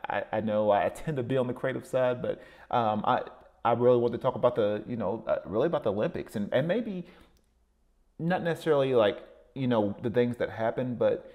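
A man's voice: mid-range voice, a little mellow. A man hosting a podcast, giving a solo motivational talk in a rambling way, with many fillers and repeated words. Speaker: podcast host.

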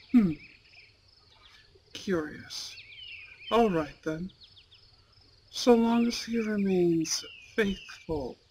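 A voice actor plays a suave, possessive young bad-boy boyfriend in an ASMR roleplay. He speaks very slowly and formally, with deliberate care. Crickets and nature ambience sit clearly behind him. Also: mid-range voice, a little mellow, slight background noise. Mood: smooth, possessive. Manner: slow, formal, deliberate phrasing, velvety menace. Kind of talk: ASMR romance roleplay, intimate scripted monologue. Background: crickets and outdoor nature sounds.

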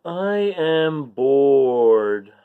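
A bored little sea creature from a picture book, acted out by a man, complaining in a deadpan drawl. The words are stretched out slowly and the pitch swings up and down with envy. The sound is slightly dull. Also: smooth. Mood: bored, gloomy. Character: bored, grumbling, envious barnacle from a picture book. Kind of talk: storybook read-aloud.

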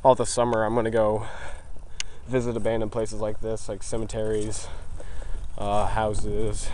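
Low-voiced man, noisy outdoor recording. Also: monotone, unhurried, a little mellow. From United States. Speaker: vlogger exploring abandoned places. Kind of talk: casual handheld vlog narration. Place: outdoors in a windy graveyard. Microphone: handheld camera's built-in mic. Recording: noisy windy outdoor camera audio. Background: wind and outdoor noise.

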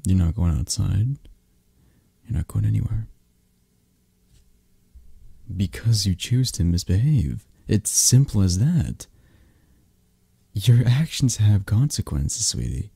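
Man acting a dark, husky mafia boss lover. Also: big pitch swings, no echo, full character voice. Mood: cold, possessive, jealous. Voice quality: breathy, husky, low.

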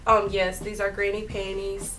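A heavily pregnant young woman co-hosting a casual couple's vlog from a bedroom, talking in a soft, chatty way. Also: mid-range voice, completely flat delivery, moderate pace, recorded from a distance. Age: young adult. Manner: soft-spoken, chatty, with light laughs and fillers. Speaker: vlog co-host. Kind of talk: casual chat. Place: bedroom, indoors.

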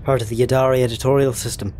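A man playing a cool, smug intelligence agent in an audio drama, deadpan and clipped. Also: medium pitch, rapid-fire, background noise, natural voice. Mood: cool, dry. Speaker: actor in a sci-fi audio drama.

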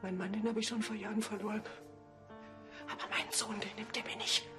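A woman's voice: medium pitch, flat delivery, brisk, roomy sound, over music, close to an everyday voice. An actress playing a doting, fragile middle-aged mother in a TV crime drama. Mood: tearful, bitter.

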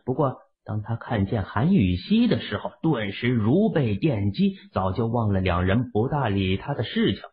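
A man's voice: on the low side, very animated, normal speed, hardly any pauses, husky. A man narrating a novel from a script in a dramatic storytelling style, with narrow, muffled sound. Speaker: audiobook narrator.

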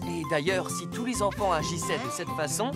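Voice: medium pitch, animated, a little fast, lightly acted. An actor voicing a caring, teacherly police-car robot hero in a dubbed children's cartoon. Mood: kindly approving. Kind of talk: dubbed children's cartoon acting, explaining safety. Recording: loud music behind.

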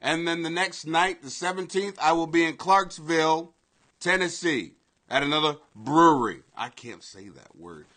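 Man, 40s, live webcam host, basement at home, bright ringing voice, crude loose riffing. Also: on the high side, lively intonation, moderate pace. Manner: loose, swearing, loud bursts and laughing asides. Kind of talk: casual profane live commentary. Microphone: webcam at desk.

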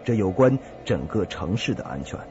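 Guy doing a grave commander in an audio drama, lowish voice, formal briefing, muffled lofi sound. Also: sing-song, normal speed. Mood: grave, urgent. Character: grave male commander giving a secret mission. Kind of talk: radio drama acting. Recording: dull lo-fi audio with music behind.

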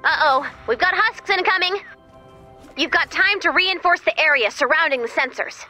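An alert video game mission operator. The voice is high and bright, with faint music behind it. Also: female voice, moderate pace. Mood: alert, urgent.

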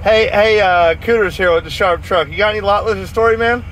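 A high-pitched man talks in a casual, slangy and animated way. He is inside a vehicle, with faint road noise under his voice. His manner is folksy and chatty. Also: brisk. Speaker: vlogger chatting on CB radio. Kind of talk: casual chat.

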